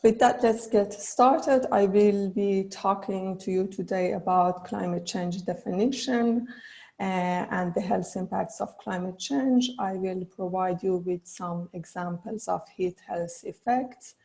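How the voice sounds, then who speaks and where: A woman with a cold and a hoarse voice, politely presenting as a panelist on a video call. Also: mid-range voice, warm tone. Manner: polite, slightly halting. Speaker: panelist, climate adaptation expert.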